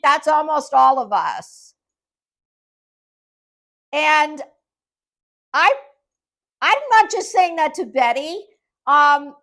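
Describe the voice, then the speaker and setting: A woman giving casual, off-the-cuff YouTube commentary in a sarcastic, condescending, halting and emphatic manner. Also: high-pitched, fairly bright tone.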